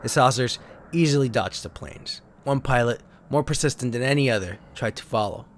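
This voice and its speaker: A man with a medium-pitched voice reads pulp sci-fi tales as a narrator. His delivery is theatrical and solemn, like a serious storyteller. There is some faint noise and ambience behind him. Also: normal speed. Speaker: narrator and host. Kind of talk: dramatic reading of pulp sci-fi card stories. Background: sound effects and ambience.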